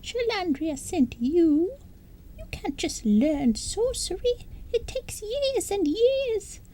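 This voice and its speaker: A woman doing a squeaky, imperious little fairy queen. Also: expressive, dark tone. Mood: indignant. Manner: squeaky, commanding, high-pitched and slow. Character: tiny fiery female fairy queen, stuck as a possum. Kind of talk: storybook reading.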